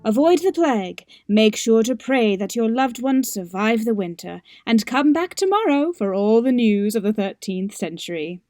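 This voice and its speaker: A woman's voice: a little high, lively intonation, moderate pace, few pauses, a little mellow, no room sound, lightly acted. A woman playing a chirpy medieval breakfast radio presenter in a parody broadcast. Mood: cheery, presenting. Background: music.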